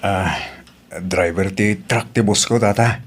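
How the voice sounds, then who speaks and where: A man's voice: on the low side, steady tone, slow pace. A man playing a meek, dutiful young working-class boyfriend in an Ilocano audio drama, respectful and hesitant, with an Ilocano accent. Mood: sheepish, deferential.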